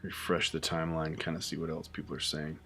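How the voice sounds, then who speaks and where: A man's voice: extremely low pitch, completely flat delivery, fairly quick, gravelly, a bit bright. A man hosting a live reaction stream, chatting casually with lots of fillers and restarts. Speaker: YouTube host reacting to a show.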